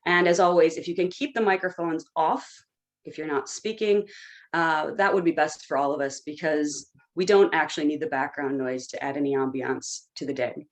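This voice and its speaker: A woman's voice: low voice, steady tone, moderate pace. A woman opening an online conference over a video call, giving friendly, practical instructions with light jokes. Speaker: conference organizer. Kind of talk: housekeeping announcements.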